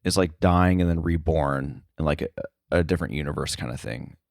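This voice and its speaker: Low-voiced man, halting casual talk. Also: creaky, dry recording, clean studio sound. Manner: halting, filler-heavy, circling back to rephrase. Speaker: interview guest, sound effects editor.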